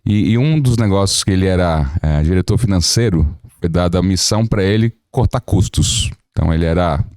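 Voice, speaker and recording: A man in his thirties from Brazil, a lawyer telling his life story as a podcast guest in a relaxed, joking way. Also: deep voice, brisk, no echo, studio quality. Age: 30s. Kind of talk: casual podcast interview.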